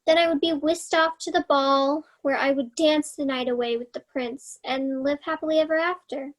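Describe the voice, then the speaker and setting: A woman's voice: sits high, fairly even intonation, normal speed, natural voice. A woman playing a dreamy, romantic young heroine in a staged reading, earnest and eager. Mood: wistful. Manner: earnest, wistful. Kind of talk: staged reading of children's theater.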